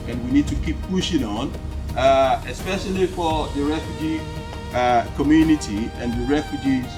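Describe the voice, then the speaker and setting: A man's voice: medium pitch, recorded from a distance. A man hosting a community gathering, speaking hesitantly with many fillers. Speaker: event host. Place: community hall event. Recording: loud music behind.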